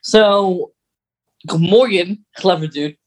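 Slow-talking podcast co-host, casual and chatty. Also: mid-range voice. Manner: informal, conversational recapping. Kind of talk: casual chat.

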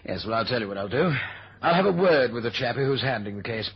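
An actor playing a dry, amused senior British politician in a 1970s radio drama, urbane and clipped. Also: male voice, medium pitch, animated, breathy edge. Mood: amused, brisk. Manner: urbane, unhurried, clipped establishment speech. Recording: dull old radio recording.

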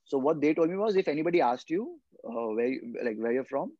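A man's voice: a little high, lively intonation, fairly quick, warm tone, no echo. A young man from India, a guest footballer in a casual podcast chat, explaining earnestly and often repeating his words as he thinks. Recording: dull lo-fi podcast mic.

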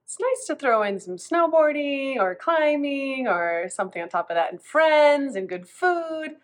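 A woman's voice: high voice, animated, a little slow. Woman food coach in her 30s, stuffy from a cold, loose rambling vlog delivery. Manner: chatty, rambling with many restarts. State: congested from a cold. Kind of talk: casual vlog talk.

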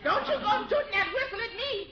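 A good-humored, sassy character in a 1940s radio sitcom. Her American voice is extremely high and boisterous. The vintage recording sounds dull and muffled. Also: animated, brisk, bright, forceful tone, full character voice. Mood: teasing. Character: sassy, good-humored woman in small-town comedy.

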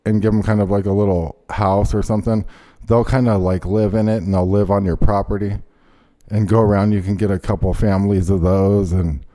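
Brief: male host, rambling indignant rant, low and mellow voice. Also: flat delivery, brisk. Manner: rambling, indignant, many fillers. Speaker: show host. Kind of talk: ranting casual chat.

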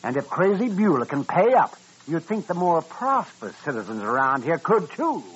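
An actor playing a crusty, grumbling old American frontier doctor in a radio western, raspy and cantankerous. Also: male voice, fairly high pitch, big pitch swings, fairly bright tone, exaggerated voice. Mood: musing. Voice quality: raspy, husky. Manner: cantankerous, drawn-out, swooping delivery. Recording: dull old-time radio recording.

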